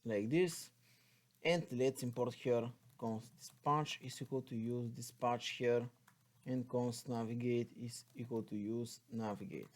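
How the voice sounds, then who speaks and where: A man's voice: mid-range voice, steady tone, unhurried, darkish tone. A man with a Bulgarian accent gives an unscripted, step-by-step coding walkthrough, thinking aloud as he types and spells out code. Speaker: programming tutorial instructor.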